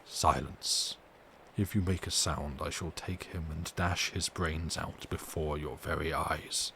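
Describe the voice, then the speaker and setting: A man's voice: very deep voice, slow pace, gravelly, pitched below the performer's own voice, exaggerated voice. A man voicing a coldly mocking male vampire in a keen, cutting half-whisper, as in a dramatic audiobook. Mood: menacing. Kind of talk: dramatic audiobook character reading. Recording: steady background noise.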